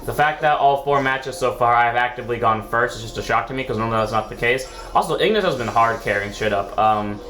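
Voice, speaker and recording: A man's voice: mid-range voice, quick pace, few pauses, a bit bright. A man with a sore throat who sounds sick, casually rambling through commentary on his own card game match, correcting himself often and swearing now and then. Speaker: gaming video host. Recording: echoey room, faint background music.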